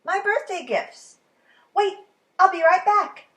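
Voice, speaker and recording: A woman's voice: very high-pitched, animated, slow pace, a bit bright, roomy sound, pitched above the performer's own voice, exaggerated voice. A woman voicing an earnest, naive young boy in a storybook, with an innocent, questioning manner. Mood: eager. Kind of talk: storybook character voice.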